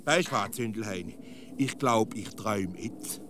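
A man's voice: lowish voice, moderate pace, noisy background, lightly acted. A man with a Swiss German accent playing a stubborn, muddled, argumentative village philosopher in a comic radio play. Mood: muddled, grudging.

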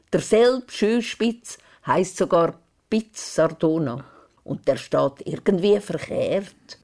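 An older woman with a Swiss German accent playing a gruff, teasing village grandmother in a radio play, curt and matter-of-fact. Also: on the low side, darkish tone.

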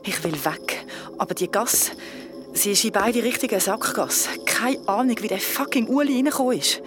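A woman's voice: mid-range voice, normal speed, over music, close to an everyday voice. A woman playing a frightened young woman with a Swiss German accent, telling a nightmare in an audio drama with colloquial urgency. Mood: shaken storytelling. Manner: breathless, colloquial, urgent storytelling. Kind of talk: audio drama narration and acting.

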